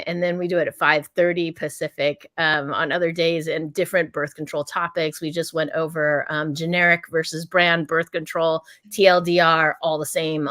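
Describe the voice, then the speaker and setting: A middle-aged American woman who is a doctor speaks frankly and with emphasis. Her voice sits a little low. The recording is dry and close, with no room sound. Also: a bit bright, dry recording. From United States. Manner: forthright and emphatic, laughs between points. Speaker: doctor and company founder, guest. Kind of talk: interview answers.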